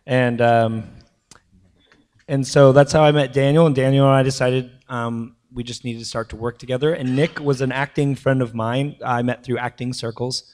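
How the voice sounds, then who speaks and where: A man with a worn, hoarse voice after singing too hard the night before. He tells his story in a halting way, with many pauses and fillers. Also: mid-range voice, fairly even intonation, a little mellow. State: losing his voice. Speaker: film producer. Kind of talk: post-premiere panel talk.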